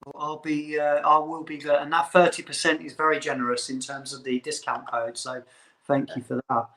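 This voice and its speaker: Male host, higher-pitched, eager and chatty in live interview. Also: level tone, moderate pace, pushed and bright, low-quality recording.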